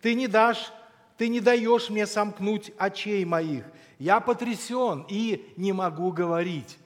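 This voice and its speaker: Male preacher, notably high-pitched voice, solemn and deliberate sermon delivery, long hall reverb. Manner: solemn, deliberate, with quotations and scripture; frequent throat clearing. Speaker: preacher closing a church conference.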